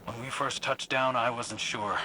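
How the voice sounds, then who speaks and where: Loyal young male game warrior played by a man, sincere, guarded, mid-pitched, battle noise roaring behind. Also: fairly even intonation, moderate pace, lightly acted. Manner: sincere, plain-spoken. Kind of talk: video game mission dialogue.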